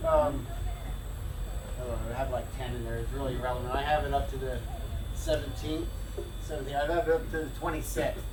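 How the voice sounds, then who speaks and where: A man's voice: medium pitch, fairly even intonation. Man explaining machinery in an echoey room. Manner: halting, restarts mid-phrase while explaining. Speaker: deacon giving a station tour. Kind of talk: casual technical explanation. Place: indoor transmitter room with machinery. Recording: echoey room, distant mic, equipment noise.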